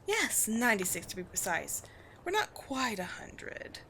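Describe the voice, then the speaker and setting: A woman playing a haughty, commanding vampire queen in a roleplay audio drama, speaking in deliberate, theatrical phrases with disdain for humans. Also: on the high side, sing-song, bright, forceful tone, slight background noise, heavily acted. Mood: probing, measured.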